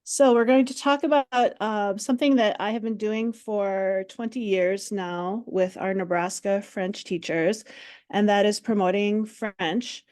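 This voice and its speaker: A woman's voice: medium pitch, steady tone, a little slow, darkish tone. Woman in her forties, french teacher from minnesota, friendly online workshop talk, video call. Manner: friendly, chatty, many ums.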